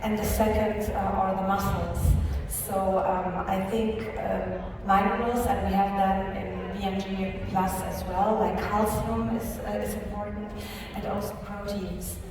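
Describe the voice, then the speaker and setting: A woman's voice: mid-range voice, little pitch movement, measured pace, hardly any pauses, crowd noise. Woman, hesitant, lots of fillers, far off mic, very echoey hall. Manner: hesitant, many fillers and restarts. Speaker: panel speaker at a product training. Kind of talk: live panel answer with fillers. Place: large conference hall.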